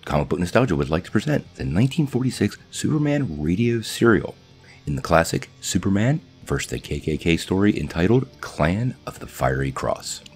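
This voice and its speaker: A man's voice: low voice, very animated, brisk, darkish tone. A man reading a scripted introduction as presenter of an archive show. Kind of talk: scripted narration. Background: faint music.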